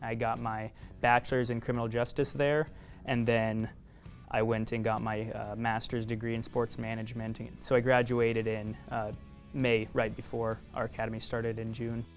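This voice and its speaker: A man's voice: medium pitch, little pitch movement, moderate pace, faint music behind. A man from South Dakota, a police academy recruit, answering interview questions steadily. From Rapid City, South Dakota.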